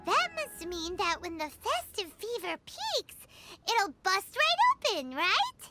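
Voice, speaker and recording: A woman voicing a tiny, excitable, childlike fairy companion with a squeaky voice in a video game. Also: slow, exaggerated voice. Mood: curious. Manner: speaks of itself in third person, exclamatory. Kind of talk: English video game voice acting. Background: playful children's-style game music.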